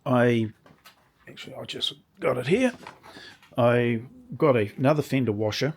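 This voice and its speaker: A man with a slightly croaky voice, casually demonstrating a workshop project and often restarting his sentences as he explains. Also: mid-range voice, moderate pace. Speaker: woodworking channel host.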